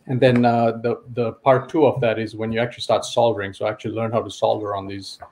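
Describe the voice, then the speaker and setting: A man's voice: lowish voice, flat delivery, fast talker, a little mellow, slight background noise. Enthusiastic man from Fiji sharing hobby projects. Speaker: show-and-tell guest, electronics hobbyist and engineer. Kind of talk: enthusiastic casual show-and-tell.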